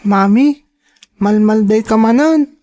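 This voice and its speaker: High-pitched young Filipino daughter played by an actress, worried, devoted, gently pleading, radio drama. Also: female voice, takes it slowly. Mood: comforting, pleading. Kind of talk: Ilocano radio drama acting.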